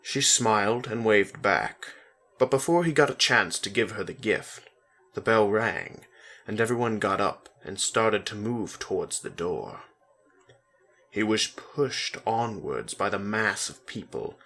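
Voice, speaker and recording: A man's voice: on the low side, animated, unhurried, fairly bright tone. A man narrating a story as a dramatic reading.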